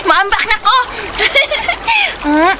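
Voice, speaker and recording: A woman's voice: extremely high pitch, huge pitch swings, very slow, very noisy, heavily acted. A woman playing a headstrong, playful ten-year-old girl in a radio drama, with a Cebuano accent. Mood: playful, excited. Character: headstrong playful girl of ten, recounted by her older self. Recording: radio drama with loud music bed.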